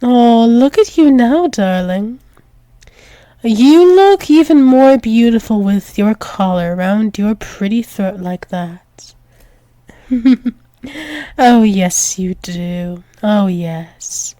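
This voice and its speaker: A woman's voice: on the high side, lively intonation, slow, warm tone, no room sound. A woman playing a tender, possessive alpha werewolf demon lover in an intimate ASMR roleplay, soothing and repetitive with small hesitant stumbles. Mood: coaxing, possessive. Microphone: close microphone, dry.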